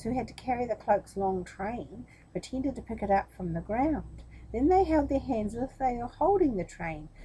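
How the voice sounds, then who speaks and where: Female children's storyteller, expressive and lively, mellow tone, talks straight to the kids, some background noise. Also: on the high side, low-quality recording. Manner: expressive, addressing young listeners directly. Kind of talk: children's story reading with explanatory asides.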